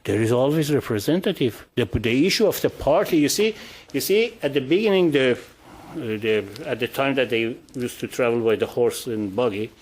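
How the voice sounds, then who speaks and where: Halting male panel host. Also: mid-range voice, expressive, darkish tone. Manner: halting, many restarts and fillers. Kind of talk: panel discussion.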